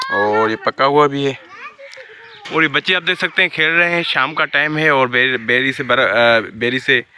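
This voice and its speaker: A man's voice: mid-range voice, background noise. A man in his thirties from Pakistan vlogging casually outdoors in a village, walking with a phone held at arm's length and teasing his friends. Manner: chatty, walks and points out surroundings, teases friends. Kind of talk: casual vlog narration. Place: outdoors in a village among fields. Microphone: phone held at arm's length, selfie framing.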